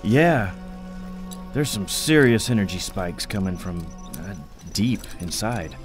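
A casual army tech specialist played by a man, fairly low in pitch. He explains in an informal, slightly hesitant way, as in an audio drama, with music clearly behind him. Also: animated, slow. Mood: puzzled. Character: male army tech sergeant, casual and a little unsure.

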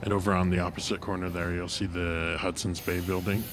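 Deep, flat-voiced man narrating a street walk. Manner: halting, many fillers and restarts. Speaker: walking tour guide. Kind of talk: casual walking tour narration. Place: city street outdoors with traffic. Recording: noisy street traffic behind.

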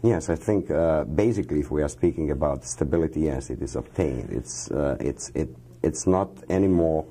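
Very deep, gravelly man in a studio. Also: dark tone. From Croatia. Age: 50s. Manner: halting, many fillers, measured explanations. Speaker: economic minister, interview guest. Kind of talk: studio interview answers. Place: dark television studio. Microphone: lapel mic.

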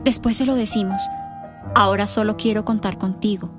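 A woman's voice: medium pitch, fairly even intonation, moderate pace, lightly acted. An actress playing a grieving, hesitant pregnant teenage girl in a radio drama. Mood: sad, resolute. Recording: music behind the voice.

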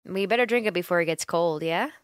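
A young woman voicing an affectionate, teasing, sleepy roommate in a gentle, intimate ASMR comfort roleplay, close to the microphone. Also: lowish voice, quick pace, clear, resonant tone, no room sound, close to an everyday voice. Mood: comforting, casual. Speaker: roleplay voice actor. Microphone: close microphone, dry.

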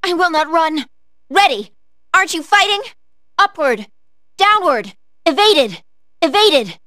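A woman's voice: very high-pitched, animated, takes it slowly, sharp tone, no echo, studio quality, heavily acted. A woman playing an earnest, polite young female android fighter in a video game, calling out clipped, formal battle commands. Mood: battle-focused. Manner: clipped, formal machine-like status callouts.

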